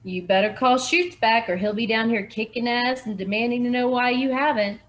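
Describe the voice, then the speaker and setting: A woman's voice: a little high, steady tone, moderate pace, fairly bright tone, pitched above the performer's own voice, close to an everyday voice. A woman reading the lines of a big, playful male deputy who likes to tease his partner, in a storybook mystery. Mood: teasing. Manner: teasing, playful.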